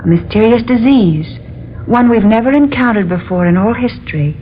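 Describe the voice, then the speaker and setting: A woman's voice: on the high side, lively intonation, normal speed, slight background noise, lightly acted. A woman playing a melodramatic princess, old radio. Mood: grave. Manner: pleading, melodramatic. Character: gentle young princess, daughter of a world ruler. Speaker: radio drama actress. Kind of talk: 1940s radio acting. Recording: muffled old radio broadcast.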